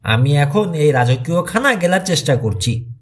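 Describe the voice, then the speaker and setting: A man's voice: fairly high pitch, very animated, moderate pace. A man voicing a squeamish, grumbling teenage boy detective in an audiobook. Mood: uneasy, irritated.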